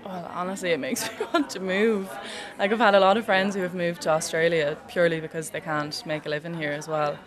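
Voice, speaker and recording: Young woman, Irish accent, low pitch, flat intonation, earnest, chatty student. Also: a little fast, crowd noise. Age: young adult. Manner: earnest, chatty, uses "like" often. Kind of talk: casual interview comments.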